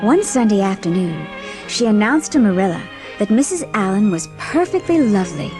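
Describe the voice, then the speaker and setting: A woman's voice: mid-range voice, very animated, normal speed, over music. A woman reading scripted storybook narration as the narrator of a dramatised audiobook.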